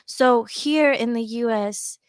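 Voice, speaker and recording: A young woman hosts a podcast in a fairly high, smooth voice. She asks rambling questions full of likes and you-knows. The sound is close and dry, with little room around it. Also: takes it slowly, dry recording. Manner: friendly, rambling, filler-heavy questions. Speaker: podcast host. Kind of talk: casual podcast interviewing.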